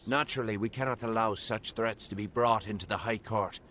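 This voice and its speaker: An actor playing a courtly, aloof Fey gatekeeper in a fantasy game, speaking formally and courteously. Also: male voice, on the low side, fairly quick, fairly bright tone, slight background noise, lightly acted. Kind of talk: fantasy video game dialogue.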